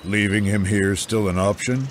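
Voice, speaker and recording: An actor playing a roguish, flippant adventurer in a fantasy audio drama. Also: male voice, lowish voice, steady tone, normal speed, slight background noise, natural voice. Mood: teasing.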